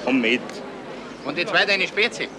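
A man's voice: fairly high pitch, expressive, moderate pace, crowd noise, close to an everyday voice. An actor playing a brusque policeman in a radio crime drama, with a colloquial way of talking. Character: brusque male policeman, the detective's colleague. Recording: noisy 1970s radio drama recording.

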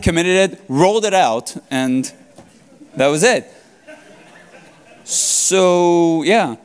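A man in his thirties whose first language is Hebrew gives a joking technical talk from a stage, gesturing as he speaks. His voice sits a little high and swings widely in pitch. He speaks into a headset mic in a large conference hall. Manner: playful, joking, animated with hand gestures.

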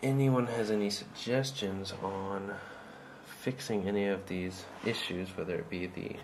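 A man giving an informal, halting demonstration of an electronics project, thinking aloud as he goes. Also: on the low side, steady tone, background noise. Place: indoors at a tabletop workbench. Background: music playing from small speakers.

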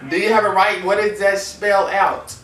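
Young male prank caller, American accent, slightly high voice, recorded at a distance in a roomy space. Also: steady tone, normal speed, a bit bright. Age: teenager to young adult. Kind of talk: prank phone call. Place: small room indoors on a couch. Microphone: camera a few feet away. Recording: echoey, distant camera mic, faint noise.

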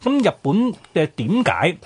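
A man's voice: a little high, huge pitch swings, a little slow, breathy edge. A man with a Hong Kong Cantonese accent, co-hosting a radio history talk and explaining things informally with chatty asides. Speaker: radio co-host.

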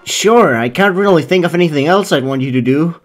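A woman plays a flippant cartoon official with a slightly low voice. The character is brazen and evasive, and music runs behind the lines. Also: expressive, fairly quick. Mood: offhand. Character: brazen, flippant judge running community service, poorly disguised. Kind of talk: comic dub voice acting.

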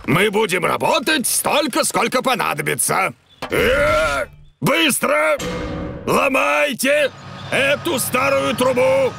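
Big hearty bull boss for a kids cartoon, super creaky, swingy pitch, music under it. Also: male voice, animated, heavily acted. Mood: bossy, hearty. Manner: booming, emphatic, drawn-out delivery. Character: big hearty bull foreman of a road crew. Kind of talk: dubbed preschool cartoon acting. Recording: music behind the voice.

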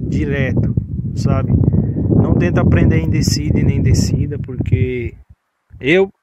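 A man's voice: fairly high pitch, measured pace, highs cut off. A man in his late twenties with a São Paulo Brazilian accent, chatting casually and reassuringly as he explains things in a rambling way. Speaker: vlogger, learner rider. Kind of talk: casual instructional chat. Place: outdoors on a rural dirt road. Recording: outdoor phone recording, loud motorcycle engine noise.

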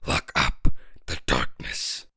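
A young man voicing a menacing superhero-show villain, slow and gravelly, processed with a pitch-lowering effect. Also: sharp tone, studio quality. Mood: slow menace. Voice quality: gravelly, rasping. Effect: pitch lowered, slowed, reverb and bass boost added in stages.

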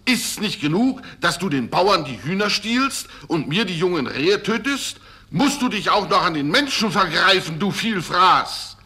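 A man's voice: a little high, bright, forceful tone, breathy edge, heavily acted. An actor playing an indignant, good-hearted huntsman in a fairy-tale radio play, declaiming and exclaiming. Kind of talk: 1970s German fairy-tale record acting.

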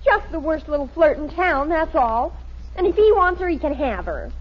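An actress playing a melodramatic, indignant teenage daughter in a 1950s radio sitcom. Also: female voice, sits high, lively intonation, moderate pace. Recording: muffled, noisy vintage radio recording.

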